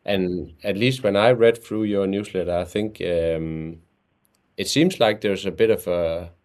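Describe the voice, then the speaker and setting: A man hosting a podcast interview, talking in halting phrases and repeating words as he goes. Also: sits low, a little mellow. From Denmark. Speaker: podcast host. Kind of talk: casual interview talk.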